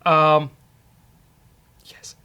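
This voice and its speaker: A man's voice: extremely slow, bright, forceful tone, highs cut off. A man hosting a casual programming walkthrough, thinking aloud in a wry, hesitant way. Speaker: host, programming instructor. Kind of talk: casual live-coding walkthrough.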